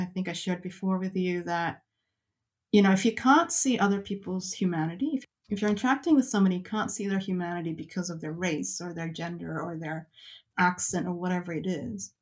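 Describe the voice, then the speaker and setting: Woman guest, middle pitch, candid and searching, lots of restarts. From Canada. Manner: thoughtful, hesitant, with many fillers and restarts. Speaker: podcast guest. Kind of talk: reflective personal storytelling.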